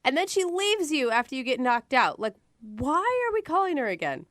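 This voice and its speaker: A woman co-host chats in a high voice with big swings in pitch. Her banter is casual and reactive, full of curious questions. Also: big pitch swings, a little fast, fairly bright tone, no room sound, clean studio sound. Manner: inquisitive. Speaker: radio show co-host.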